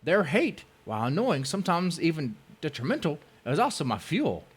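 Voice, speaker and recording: A man's voice: on the high side, huge pitch swings, normal speed, slightly rough, pitched above the performer's own voice, full character voice. A man voicing an aggrieved, spiteful blogger in a whiny, exaggerated, indignant dramatic reading. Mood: aggrieved, defiant. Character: aggrieved, spiteful male blogger ranting about his enemies.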